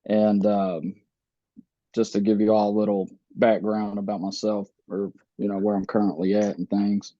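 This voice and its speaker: A man in his thirties, born in the Philippines and raised in the US, telling his life story slowly with many pauses and fillers. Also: on the low side, little pitch movement, dry recording, muffled recording. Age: 30s. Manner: slow, halting, many fillers and restarts. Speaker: podcast guest.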